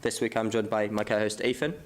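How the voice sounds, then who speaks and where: A man's voice: on the low side, level tone, fast, a little hiss or hum. A man hosting a podcast in a casual film discussion, rephrasing hesitantly as he talks. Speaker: podcast host.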